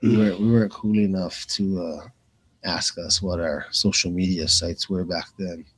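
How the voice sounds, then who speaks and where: A man's voice: low-pitched, steady tone, normal speed, a bit bright, slightly rough, poor audio. A man commentating live on fights in a casual, informal way, with plenty of bro and man. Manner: informal, slangy, calls people bro and man. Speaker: commentator, former pro fighter.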